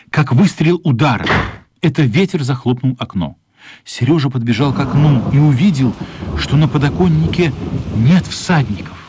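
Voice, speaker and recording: A man narrating a children's radio play as scripted storytelling, measured and expressive, with pauses. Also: medium pitch, background noise. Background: music.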